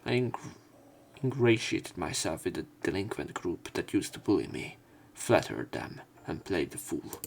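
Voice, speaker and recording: A man reading visual novel text aloud as a let's player, in a measured, serious manner. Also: low-pitched, expressive, creaky, slight background noise.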